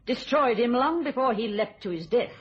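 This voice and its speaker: An actress playing a bitter, steely widow in a radio thriller, with a British accent and a controlled, deliberate delivery. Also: female voice, fairly high pitch, lively intonation, lightly acted. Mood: bitter, vengeful. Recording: dull lo-fi radio sound.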